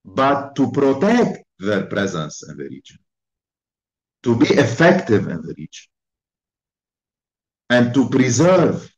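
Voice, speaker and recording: A man delivers a formal conference talk in slow, deliberate, emphatic phrases. His voice sits fairly low. The recording is muffled and lo-fi. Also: echoey room. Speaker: invited speaker.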